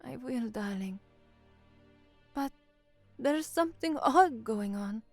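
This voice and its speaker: A woman's voice: on the high side, animated, takes it slowly, no echo, soft background music, exaggerated voice. An actress playing an anxious, frank young working-class woman, a trainee newsreader, in an audio drama with first-person narration. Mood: worried, flustered.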